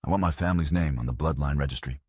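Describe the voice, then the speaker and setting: A man voicing a proud, calm young woman horse trainer who speaks with quiet, firm defiance in an audiobook romance. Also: very deep voice, very fast, breathy edge, no echo, studio quality. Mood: resolute demand.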